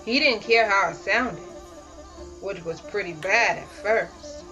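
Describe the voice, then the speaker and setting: A woman reading a children's story aloud as host, expressive and dramatic, drawing out key words for emphasis. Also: medium pitch, takes it slowly, dull audio, soft background music. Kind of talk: read-aloud children's picture book narration.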